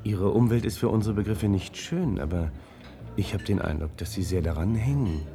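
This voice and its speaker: An actor playing an earnest, thoughtful researcher in a German science-fiction radio play, speaking hesitantly and searching for words. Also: male voice, fairly low pitch, normal speed, over music, lightly acted. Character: earnest, thoughtful male researcher Neil, quietly drawn to outsiders.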